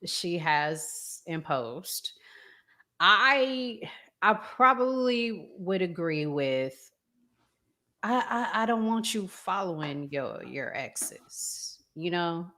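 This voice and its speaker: Slow-talking woman host with a lowish voice. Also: expressive, bright, forceful tone. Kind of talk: casual discussion.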